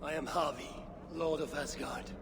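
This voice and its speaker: An actor playing a proud, solemn Norse god and warrior in a video game, speaking in a grave, formal manner. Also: male voice, fairly high pitch, fairly even intonation, normal speed, music in the background, lightly acted. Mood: proud, declaring. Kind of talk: video game cutscene acting. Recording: long reverb, clear background noise.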